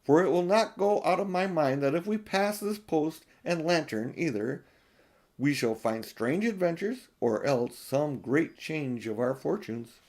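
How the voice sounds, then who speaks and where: A man's voice: a little high, lively intonation, measured pace, a little mellow. A man voicing a courtly, valiant young queen in a storybook, speaking in a formal, archaic way. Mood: solemn.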